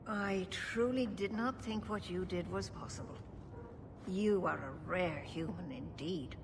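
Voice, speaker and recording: A woman playing a wise elder elf clan leader in a video game, solemn, measured and formal. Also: on the low side. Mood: solemn, grateful. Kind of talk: video game cutscene acting. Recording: noisy ambience behind the voice.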